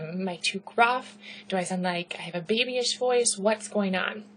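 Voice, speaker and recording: A woman instructor giving a recorded lecture, talking loudly in a pitchy, conversational way and checking in with "right?" and "okay". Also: a little hiss or hum, low-quality recording. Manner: loud, pitchy, conversational with rhetorical questions.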